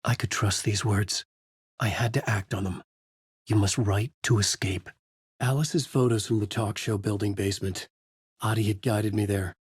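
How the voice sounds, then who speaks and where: A haunted male horror novelist in a video game. His voice is low and husky, recorded very dry and close. He delivers brooding noir lines in grave, literary fragments, tormented and desperate. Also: no room sound.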